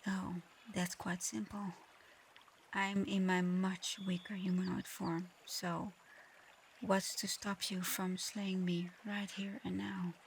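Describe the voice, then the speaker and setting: A woman voicing a proud but conflicted dragon girl in human form for an ASMR audio roleplay, soft-spoken, hesitant and earnest. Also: mid-range voice, flat delivery, takes it slowly, creaky, a little hiss or hum. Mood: gentle, knowing.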